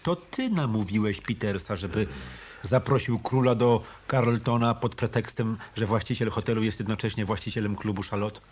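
An actor playing a shrewd hard-boiled detective in a radio drama, speaking in a dry, deliberate, probing way. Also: male voice, lowish voice, darkish tone, husky. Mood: accusing. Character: shrewd hard-boiled male hotel detective turned private eye. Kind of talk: Polish radio drama dialogue.

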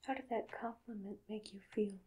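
A woman playing a doctor in an ASMR roleplay, speaking softly and mostly in whispers, with gentle questions and long pauses, close to the microphone. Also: medium pitch, flat delivery.

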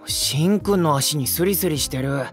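A male actor playing a gentle, cheerful, innocent teenage boy idol in a Japanese audio drama, friendly and earnest. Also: a little high, moderate pace, faint music behind, lightly acted. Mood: cheerful, curious. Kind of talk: Japanese anime-style audio drama acting.

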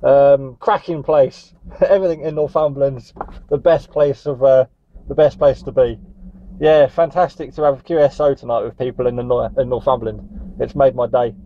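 A man's voice: mid-range voice, fairly even intonation, moderate pace, slight background noise. A man from Northumberland in England chatting in a friendly way as a CB radio operator, using radio jargon. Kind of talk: casual radio contact chat. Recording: dull, muffled radio audio.

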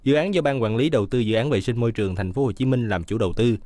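A man reading scripted news voice-over in a brisk, even newsreader delivery. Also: medium pitch, dry recording.

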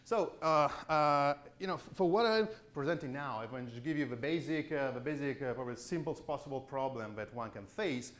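A man in his forties giving a conference keynote lecture, halting with many fillers and restarts, in a chalkboard lecture room. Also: medium pitch, animated, a bit bright, roomy sound. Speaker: keynote speaker.

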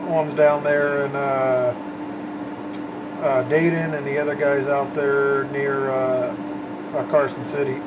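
A man's voice: medium pitch, level tone, takes it slowly, highs cut off. A man chatting casually and in folksy slang, as an operator talking on CB radio. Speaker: CB radio operator, channel host. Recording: background hum and noise.

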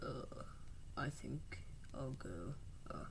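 A woman playing out a game with plush toys, talking in a hushed, mumbling way. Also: very deep voice, expressive, takes it slowly, vocal fry. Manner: hushed, mumbling, often whispered. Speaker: toy video maker.

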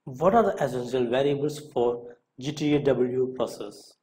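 Man, medium-pitched voice, instructor, scripted quiz-style narration, deliberate reading of questions and short answers. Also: dark tone.

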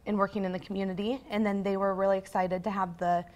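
A woman's voice: medium pitch, level tone, fast talker, a little mellow. A young woman representing a farm bureau, explaining steadily on a TV set. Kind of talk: morning show interview. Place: TV studio set.

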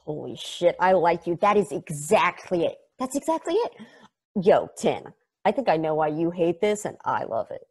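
A man reading an excitable, chatty space probe in a table read over a video call, rambling off into tangents. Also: on the high side, normal speed, breathy edge, exaggerated voice. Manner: enthusiastic, rambling, tangent-prone.

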